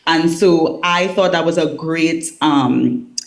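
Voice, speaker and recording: A woman's voice: low-pitched, slow, creaky, low-quality recording. A woman from Trinidad and Tobago, a business owner, answering interview questions over a video call in an articulate way and laughing easily. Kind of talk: remote interview.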